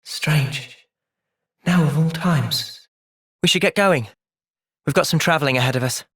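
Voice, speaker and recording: A man voicing an earnest, thoughtful young soldier in a video game, with an English accent and a measured, sincere delivery. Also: a little high, a bit bright, breathy edge, no echo, studio quality. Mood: pensive, serious. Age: young adult. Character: earnest, thoughtful young male soldier, gentle but resolute. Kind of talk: video game cutscene acting.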